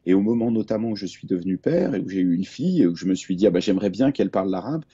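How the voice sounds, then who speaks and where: Mellow-voiced man on a video call. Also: sits low, expressive, racing, husky, dull audio. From Lebanon. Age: 40s. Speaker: author and journalist, guest. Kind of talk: panel talk over video call.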